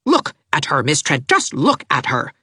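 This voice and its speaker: A woman voicing an indignant, haughty middle-aged mother with a shrill, imperious manner. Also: wildly swooping pitch, a little slow, bright, forceful tone, breathy edge, no echo, clean studio sound, heavily acted.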